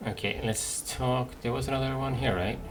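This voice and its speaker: A man hosting a game playthrough, commenting casually and haltingly as he thinks aloud. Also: mid-range voice, steady tone, soft background music. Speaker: gaming video host.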